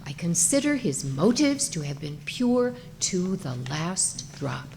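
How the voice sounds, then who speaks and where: A woman's voice: fairly low pitch, sing-song, slow, gravelly, darkish tone, a little hiss or hum. A woman reading a comic short story aloud as its narrator, in a scripted storytelling style.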